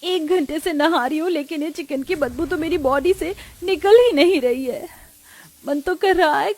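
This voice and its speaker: A distressed young Indian daughter-in-law from a cartoon story, acted by a woman. Her high voice is plaintive and lamenting, tender-hearted, and recorded very dry. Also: no echo, close to an everyday voice.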